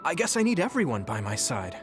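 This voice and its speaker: A man's voice: mid-range voice, huge pitch swings, fairly quick, music in the background. An actor playing an earnest, supportive and self-assured teenage boy soldier in a video game. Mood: earnest, grateful. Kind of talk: English video game voice acting.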